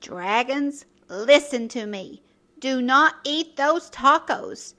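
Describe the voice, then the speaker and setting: A woman reading a children's story aloud in a playful, expressive way, speaking directly to her listeners as narrator and host. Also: high-pitched, slow, over loud music. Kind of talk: animated read-aloud storytelling.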